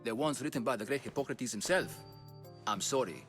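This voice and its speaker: An actor playing a dutiful, stubborn ancient Greek battlefield doctor in a dramatic video game, earnest and firm. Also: male voice, medium pitch, expressive, brisk, a bit bright, soft background music, close to an everyday voice. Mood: defiant, busy.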